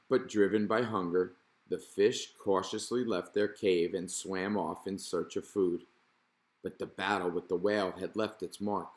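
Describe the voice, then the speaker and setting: Male storybook narrator, slightly low register, reading aloud for children. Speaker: storybook reader.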